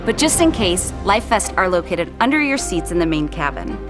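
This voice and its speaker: A woman's voice: fairly low pitch, big pitch swings, normal speed. A woman narrating scripted airline safety instructions. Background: music.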